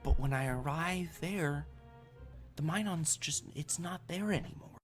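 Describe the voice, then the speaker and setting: Man voicing a nervous young woman, pitched up. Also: a little slow, faint music behind, lightly acted. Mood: hushed, worried. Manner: hesitant, stammering, apologetic. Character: nervous, polite young female museum employee.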